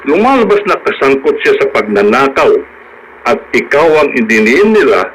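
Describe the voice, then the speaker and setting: An actor with a Filipino accent playing a calm, reassuring attorney who explains things in a measured radio drama manner. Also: male voice, mid-range voice, slightly rough, poor audio. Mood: matter-of-fact. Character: calm, reassuring male attorney bringing good news.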